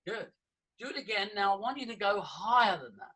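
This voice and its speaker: A man's voice: sits high, animated, brisk, sharp tone, husky, rough recording. A man leading a voice workshop, demonstrating breathing and vocal sounds for his students. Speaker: voice coach. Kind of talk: live workshop teaching.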